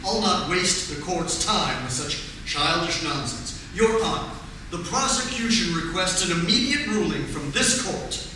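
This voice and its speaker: An exasperated, defensive prosecutor acted by a man in a vintage radio play. The voice is high and very forceful, insisting on each point. It comes from some way off in a heavily echoing hall. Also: animated, measured pace, full character voice. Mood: indignant, formal. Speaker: community theatre actor. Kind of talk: 1940s radio play acting. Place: community theatre stage performance. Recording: echoey hall, distant mic, faint noise.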